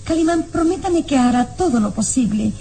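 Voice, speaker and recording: A woman's voice: sits high, animated, normal speed, dark tone, faint music behind. An actress playing an earnest, anxious young noblewoman in a vintage radio serial, with a pleading delivery.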